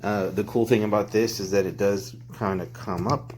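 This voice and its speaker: Low-voiced man in a casual product demo. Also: steady tone, normal speed, darkish tone. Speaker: product reviewer. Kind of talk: casual hands-on product review. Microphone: handheld phone. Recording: clear background noise, handling clicks.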